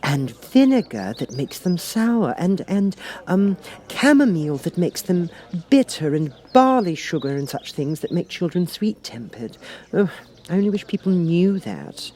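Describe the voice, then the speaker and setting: A man's voice: high-pitched, big pitch swings, a little slow, mellow, voice pushed up. A man voicing a polite, curious young girl for an audiobook, in an earnest, musing, slightly hesitant manner. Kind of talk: audiobook character voice.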